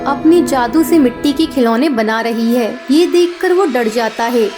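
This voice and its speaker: A woman's voice: a little high, moderate pace, music in the background. A woman narrating a scripted children's moral story.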